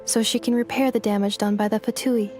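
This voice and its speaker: A composed female game robot, acted by a woman speaks in a slightly high voice. Her phrasing is formal and procedural, literal and courteous. Light music plays behind her. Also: fairly even intonation, brisk, natural voice. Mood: calm, matter-of-fact. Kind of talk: video game voice acting.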